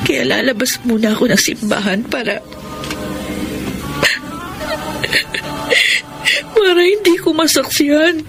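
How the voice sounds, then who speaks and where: A woman's voice: sits high, lively intonation, brisk, low-quality recording. Plaintive, jilted young Filipino woman. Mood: grieving, tearful. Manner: plaintive, sorrowful. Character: heartbroken jilted young woman, wistful and wounded. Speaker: radio drama actress. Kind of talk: dramatized letter narration, radio drama. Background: clear background music.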